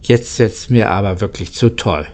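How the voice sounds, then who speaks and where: A man's voice: on the low side, moderate pace, darkish tone, pitched below the performer's own voice, natural voice. A man reading the part of an easygoing, plain-spoken locomotive driver in a children's storybook. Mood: baffled. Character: easygoing adult male locomotive driver explaining things.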